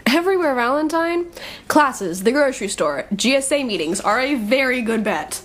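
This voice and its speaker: A woman's voice: sits high, animated, moderate pace. A young woman playing a brash, sarcastic college-age thief in an audio drama, speaking in breezy, slangy banter. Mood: breezy, joking. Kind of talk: audio drama dialogue, casual and conversational.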